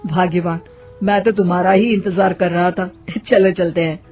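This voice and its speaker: A man's voice: high-pitched, level tone, normal speed, darkish tone, soft background music. A man playing a boastful, naive young shopkeeper son in a cartoon story, showy and exclamatory. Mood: affectionate.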